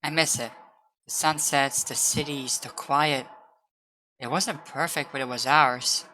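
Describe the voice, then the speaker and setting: A woman's voice: a bass voice, fairly even intonation, unhurried, pushed and bright, slight background noise, full character voice, poor audio. A woman voicing a blunt, loyal female comrade in a dramatic roleplay, firm and earnest. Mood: wistful. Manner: firm, scolding yet earnest. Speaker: voice actor.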